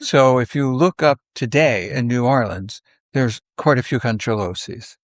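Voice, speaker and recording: An older man reminisces conversationally, in halting, unhurried phrases, often acting out bits of dialogue. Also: medium pitch, dry recording, clean studio sound. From South Philadelphia, United States. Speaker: podcast guest.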